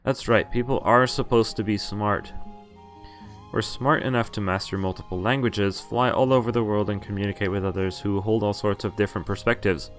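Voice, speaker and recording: A man's voice: fairly low pitch, fairly even intonation, normal speed, soft background music. A man streaming a visual novel, reading its text aloud and adding casual, wry asides, often stumbling over names and correcting himself.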